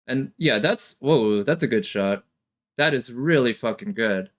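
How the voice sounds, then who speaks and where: A man's voice: medium pitch, lively intonation, a little slow, soft background music. A man giving casual, off-the-cuff reaction commentary as a streamer, thinking aloud analytically with casual swearing.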